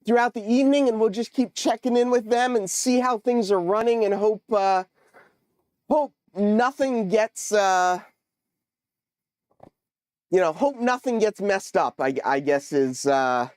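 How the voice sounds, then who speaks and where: A man's voice: high voice, darkish tone, clean tone, no room sound, studio quality. Congested man hosting a livestream. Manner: halting, many fillers, chatty with viewers. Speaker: livestream host. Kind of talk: casual livestream chat. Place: home model railroad room.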